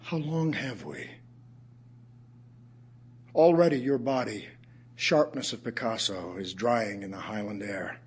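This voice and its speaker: Raspy, slow-spoken ailing poet. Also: male voice, fairly low pitch, expressive, full character voice. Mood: tender, sensual. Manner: measured, reflective, with emphatic pauses. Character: ailing middle-aged writer and poet reciting his own lines. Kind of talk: dramatic audio theatre poetry reading.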